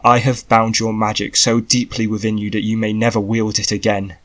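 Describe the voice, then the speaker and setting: A man's voice: fairly low pitch, little pitch movement, a little fast, a bit bright, close to an everyday voice. A man voicing a young male sorcerer, intense and declaiming, in an audiobook drama. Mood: stern fury. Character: young male sorcerer, furious and chanting spells, grieving.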